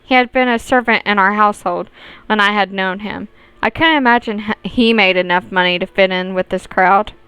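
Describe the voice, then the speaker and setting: Female let's player narrating a story game, exceptionally clear tone, very little room sound. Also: mid-range voice, moderate pace, bright, forceful tone, no echo, poor audio. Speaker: gaming video host reading aloud. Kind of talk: visual novel let's play, reading narration and dialogue aloud.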